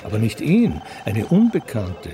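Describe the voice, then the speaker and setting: A man reading scripted radio drama narration in a measured, literary and dry manner. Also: fairly low pitch, huge pitch swings.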